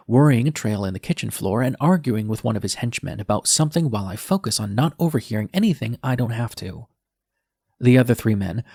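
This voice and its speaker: A man's voice: mid-range voice, vocal fry, a little mellow, breathy edge, no room sound, studio quality. A man narrating an audiobook in the first person as a defiant, bitter young male nurse, with a sardonic, edgy manner.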